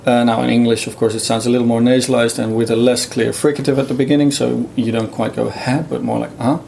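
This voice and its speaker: A man's voice: mid-range voice, little pitch movement, quick pace, vocal fry, a little mellow, slight background noise. A middle-aged man, a native Dutch speaker, explaining his linguistics research in a thoughtful interview with many fillers.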